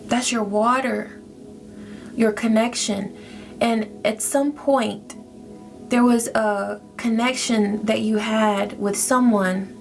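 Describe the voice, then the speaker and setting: A woman's voice: on the high side, vocal fry. A woman giving a spiritual tarot reading, speaking in a soothing, affirming way to her listener. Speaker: tarot reader. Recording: music playing behind.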